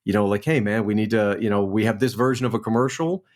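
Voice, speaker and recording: A man in his forties from New Orleans, a guest actor telling his career story in a relaxed, chatty way on a remote call. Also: lowish voice, quick pace. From New Orleans, Louisiana. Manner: relaxed, storytelling, frequent "man" and "you know". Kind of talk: casual interview chat.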